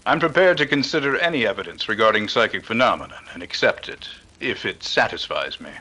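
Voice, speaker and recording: An actor playing a cool, skeptical detective with dry, precise speech, in a 1930s-style radio drama. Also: male voice, on the low side, animated, brisk, pushed and bright, poor audio. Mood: dry, guarded.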